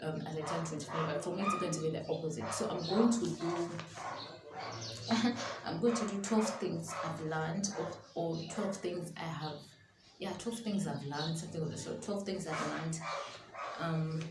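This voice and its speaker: A woman's voice: low-pitched, steady tone, normal speed. A woman, chatting casually to camera as a vlogger and often rephrasing herself. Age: early 20s, twenty-one. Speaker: YouTube vlogger. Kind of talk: casual sit-down chat to camera. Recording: echoey room with clear background noise.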